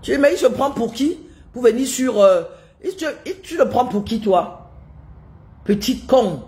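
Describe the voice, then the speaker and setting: A woman's voice: a little high, expressive, unhurried, darkish tone. A woman with a head cold ranting live to her viewers, indignant and scolding, repeating rhetorical questions. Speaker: live streamer commenting on politics. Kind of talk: live-stream rant to viewers.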